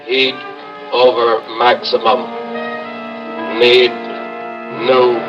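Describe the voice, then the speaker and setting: An actor playing an obedient, emotionless robot lab assistant in a 1960s radio drama, speaking in clipped monotone commands. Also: male voice, mid-range voice, very slow. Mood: emotionless, mechanical. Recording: muffled old radio recording under loud music.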